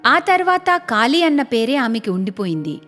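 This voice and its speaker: Lady telling a cartoon story, very expressive, high voice, music faintly behind. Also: moderate pace, big-room sound. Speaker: story narrator. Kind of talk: expressive scripted cartoon narration.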